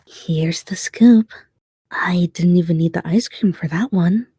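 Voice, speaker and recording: A woman voicing a cold, mocking circus animatronic in a horror game, with a husky, whispery voice and sardonic, unhurried delivery. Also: fairly low pitch, noisy background. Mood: sinister mocking.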